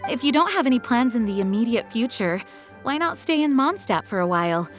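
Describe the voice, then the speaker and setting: A woman's voice: on the high side, big pitch swings, a little fast. A woman voicing a dutiful, composed knight commander in a video game, formal and courteous. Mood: friendly, courteous. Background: music.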